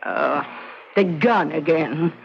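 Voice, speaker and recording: A woman's voice: low-pitched, vocal fry, heavily acted. An actress playing a menacing old hag who lives with her cats, theatrical and vengeful, in old-time radio drama style. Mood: wary, indignant. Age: older. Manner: slow, theatrical, vengeful incanting. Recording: dull, noisy old radio recording.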